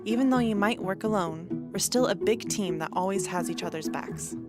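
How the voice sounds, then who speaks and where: A woman narrates a promotional video in a polished, upbeat voice at a mid pitch. Loud background music plays under her voice-over. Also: moderate pace.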